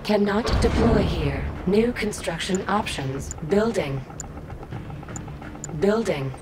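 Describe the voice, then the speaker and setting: A woman voicing a calm battle computer in a strategy game, giving clipped, measured status announcements. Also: mid-range voice, heavy background noise. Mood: impersonal, calm. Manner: measured, impersonal. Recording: loud game music underneath. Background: loud music and game battle sounds.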